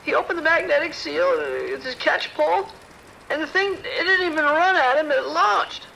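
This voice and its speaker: Frantic, very high-pitched doctor acted by a man. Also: moderate pace, creaky, pushed and bright, slight background noise, full character voice, low-quality recording. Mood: frantic, panicked. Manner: frantic, breathless delivery. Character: panicked research doctor reporting a lab animal attack. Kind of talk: audio drama acting.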